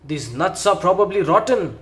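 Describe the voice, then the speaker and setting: A man voicing a greedy, bullying male rat highwayman in a children's storybook reading, loud and gloating. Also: lively intonation, takes it slowly, echoey room, full character voice. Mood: bellowing.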